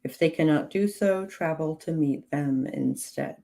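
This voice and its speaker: A slightly hoarse woman with a low, gravelly voice reads aloud slowly and evenly on a livestream. Her tone is dark and mellow, and she drops in short, dry asides between passages. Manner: slow, measured reading with clipped, dry asides to chat. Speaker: livestream host reading from a witchcraft book. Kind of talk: reading aloud with chat asides.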